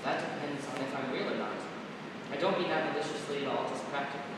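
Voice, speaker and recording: A man playing a proud, wounded dream lover with cutting wit, speaking formal, measured verse in a staged reading of a play. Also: steady tone, close to an everyday voice. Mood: wry, resigned. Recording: distant, echoey hall, rumbling room noise.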